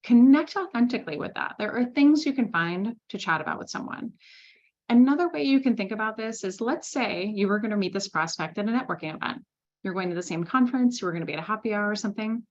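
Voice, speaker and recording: Brief: woman sales trainer, lively conversational delivery, mid pitch, slightly muffled audio. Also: quick pace. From Switzerland. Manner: lively, conversational, with frequent "right?" tags. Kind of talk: presenting a masterclass.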